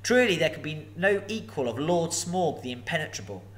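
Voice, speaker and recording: A man voicing a small, frightened hobbit who answers a dragon with nervous, eager flattery in a lifted voice. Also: normal speed. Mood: flattering. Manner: higher, eager and flattering, voice lifting.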